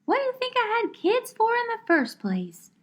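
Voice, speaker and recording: Woman as a shrill, exasperated mermaid mother. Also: wildly swooping pitch, moderate pace, exaggerated voice. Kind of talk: puppet show acting.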